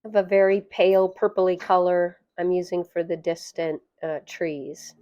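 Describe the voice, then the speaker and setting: A woman narrating a watercolor painting tutorial, hoarse and losing her voice, soft-spoken and chatty. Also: fairly low pitch, flat delivery, measured pace, no room sound, highs cut off, faint music behind. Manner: soft-spoken, chatty, with fillers and light laughs. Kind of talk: relaxed voice-over tutorial.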